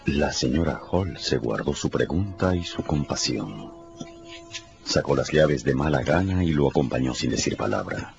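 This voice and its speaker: A man with a very deep, gravelly voice narrates a radio novel. His scripted delivery is dramatic. The sound is a little muffled, with music playing behind him. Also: animated, normal speed.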